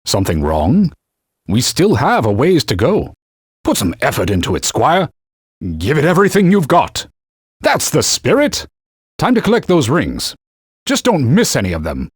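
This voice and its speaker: A man's voice: mid-range voice, wildly swooping pitch, normal speed, pauses often, husky, no room sound, studio quality. An actor playing a haughty male talking sword, a stern mentor who gives orders and scolds in old-fashioned knightly phrasing, for a video game. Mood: instructive. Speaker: game character.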